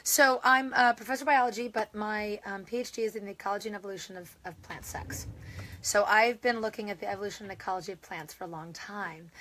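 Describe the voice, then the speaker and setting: A woman with a hoarse voice, chatting as a guest on a podcast. She talks with chatty enthusiasm at a middle pitch. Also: bright, forceful tone, poor audio. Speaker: biology professor, guest. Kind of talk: casual interview talk.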